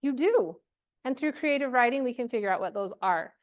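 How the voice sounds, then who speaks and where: Female workshop presenter, higher-pitched voice, chatty and encouraging, informal delivery to a live group. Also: lively intonation, a little fast. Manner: chatty, encouraging, checks in with questions and "right?".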